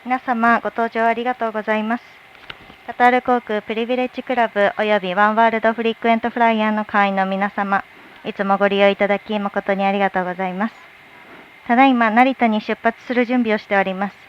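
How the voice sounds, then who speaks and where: Woman flight attendant racing through a polite scripted announcement, dull plane speaker, bit of hum behind. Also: medium pitch. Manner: formal, polite. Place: aircraft cabin. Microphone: cabin PA system heard through speakers. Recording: muffled cabin PA with faint engine noise. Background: faint aircraft cabin hum.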